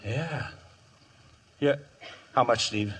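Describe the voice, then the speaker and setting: An American actor playing a sadistic, taunting outlaw gang leader in a western radio drama, drawling with cackling glee. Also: male voice, medium pitch, drawn-out words, a bit bright, husky, full character voice. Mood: sly, insistent.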